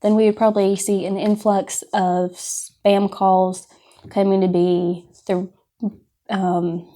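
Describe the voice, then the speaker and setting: A woman's voice: medium pitch, level tone, slow, warm tone. A woman giving an informal instructional talk in a conversational, explanatory, cautionary manner. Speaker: presenter of a scam awareness class.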